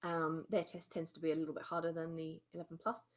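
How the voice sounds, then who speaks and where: Lower-voiced woman from england vlogging at her desk mic, chatty, lots of ums. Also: completely flat delivery, fast. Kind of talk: casual chat. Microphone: desktop mic with webcam.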